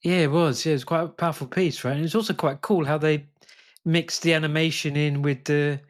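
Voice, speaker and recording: A man's voice: fairly high pitch, fairly even intonation, a little fast. Thoughtful British man co-hosting a podcast. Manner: thoughtful, conversational, frequent "right?" and "you know". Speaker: podcast co-host. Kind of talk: casual podcast chat.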